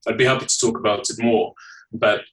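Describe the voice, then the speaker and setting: A man with a northern English Leeds accent talks in a low, steady, even tone, his answers formal and carefully structured. His voice sits a little away from the microphone in this interview. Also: recorded from a distance, rough recording. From England. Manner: measured, formal, articulate. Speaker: guest. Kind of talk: podcast interview.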